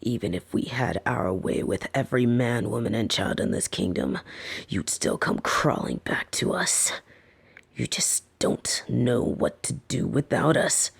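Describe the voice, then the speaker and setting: A woman plays a female lamia captor in an intimate fantasy audio roleplay. She speaks slowly and breathily, often slipping into whispers and creaky tones. Her pitch moves freely as she patronizes and teases with soft, possessive dominance. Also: expressive, exaggerated voice. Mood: seductive, taunting. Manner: slow, teasing, patronizing.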